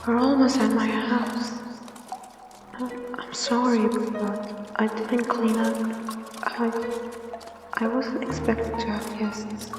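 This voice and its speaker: Woman playing a slow, timid little ghost. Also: fairly high pitch, little pitch movement, music in the background. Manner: timid, halting, breathy trailing off. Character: shy, apologetic, gloomy little ghost in audio drama.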